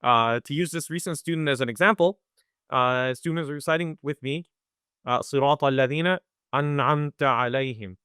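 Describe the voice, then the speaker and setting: A man teaching Quran recitation in a webinar, talking conversationally, often checking in with "right?" and sounding out recitation slowly. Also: mid-range voice, pushed and bright, clear, resonant tone, no room sound, clean studio sound. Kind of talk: live webinar lesson.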